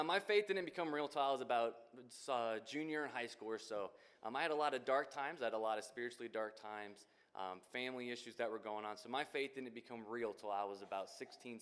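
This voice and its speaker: A man's voice: mid-range voice, fairly bright tone, clean tone. A man in his twenties with an American accent giving an informal testimony to a congregation, conversational and full of casual asides. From Northwest Indiana, United States. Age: 20s. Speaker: youth minister candidate. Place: church, speaking to congregation.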